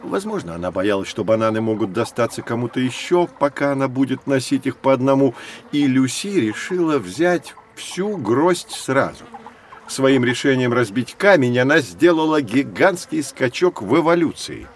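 A man playing a patient, learned guide who teaches children in scripted educational audio narration, didactic and measured. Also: medium pitch, expressive, slight background noise, close to an everyday voice. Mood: explaining.

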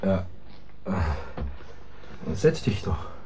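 A man's voice: drawn-out words, noisy background. An actor playing a downcast, weary bohemian man in a German radio drama.

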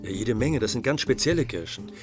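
A man's voice: mid-range voice, expressive, fairly quick, music in the background, close to an everyday voice. An actor playing a brash, flirtatious man at a bar in a radio play, cocky and slangy. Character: brash, flirtatious male barfly egging on his friend. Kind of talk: lively radio play acting.

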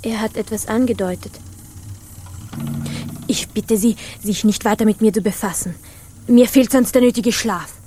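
An actress playing a proud, aloof young pirate sailor in a radio drama, formal, guarded and reserved. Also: female voice, on the high side, normal speed, pauses often, mellow, slight background noise, natural voice. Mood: coolly formal. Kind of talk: theatrical radio drama acting.

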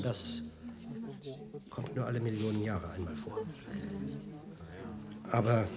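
An actor playing a mocking, brooding male press officer of a research centre in a German science-fiction radio drama. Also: mid-range voice.

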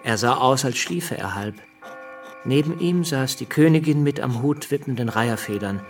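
An actor playing an earnest, dryly scornful revolutionary deputy reading his letters home in a measured radio drama manner. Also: medium pitch, expressive, soft background music, close to an everyday voice. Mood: dry irony.

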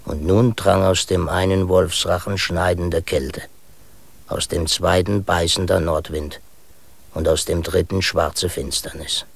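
An actor playing an old male Sami herdsman who tells a tall tale in a German radio play, speaking slowly with a gravelly voice. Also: low-pitched, steady tone, no room sound, full character voice. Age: older. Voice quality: slow gravelly delivery. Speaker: storyteller within the play.

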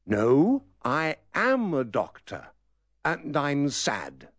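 An actor playing a sad, forgetful male doctor, speaking in a hesitant, mournful way in a slow course dialogue. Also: fairly high pitch, wildly swooping pitch, no room sound, full character voice. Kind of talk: scripted language course dialogue.

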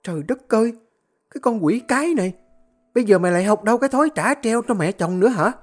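A woman's voice: medium pitch, lively intonation, fast, soft background music, natural voice. A woman voicing a cruel elderly mother-in-law who hurls screeching insults in an audio story. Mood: scolding. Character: shrill cruel old mother-in-law, scolding.

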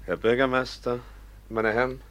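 Male actor as an earnest teacher in a radio play, mid-pitched. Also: flat delivery, normal speed, fairly bright tone, close to an everyday voice. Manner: earnest, reads aloud from an official report. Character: earnest, principled male schoolteacher. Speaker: professor and teacher.

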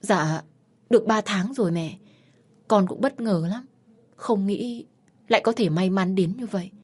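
A gentle young widow in an audio drama , voiced by a woman storyteller. She speaks earnestly and dutifully to her elders, pitched above the narration with a husky grain. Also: a little slow. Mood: meek, earnest. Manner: deferential, earnest.

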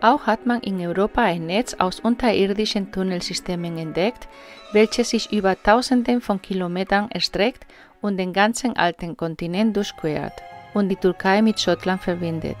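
Mystery documentary narrator, read by a woman: mid-range, earnest, awed, scripted, over a quiet music bed. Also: medium pitch, normal speed, natural voice.

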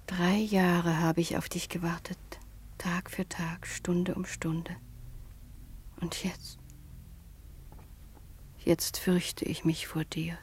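A woman playing a devoted, frightened princess in a radio drama, tender and halting, her breathy voice often near a whisper. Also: lowish voice, little pitch movement, exaggerated voice. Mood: fearful, devoted. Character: devoted, frightened young daughter of a Roman emperor. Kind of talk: theatrical German radio drama acting.

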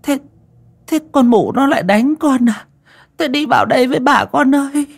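Man voicing a frail old grandma over 70, gentle coaxing, faltering, raised husky falsetto. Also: normal speed, full character voice. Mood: anxious, tender. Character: frail old bedridden grandmother, tender and anxious.

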